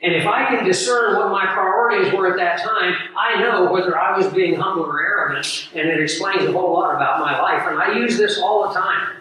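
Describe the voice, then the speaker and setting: A man preaching a sermon to a live audience, his voice hoarse and scratchy from the flu, teaching in an earnest, emphatic way with pauses. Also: high voice, bright, forceful tone. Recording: very echoey room, voice far from mic.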